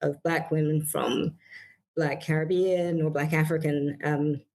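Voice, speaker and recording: A middle-aged woman from Barbados, a psychiatrist answering interview questions in a measured way, with many fillers and restarts. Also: deep voice, steady tone, darkish tone. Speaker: guest psychiatrist.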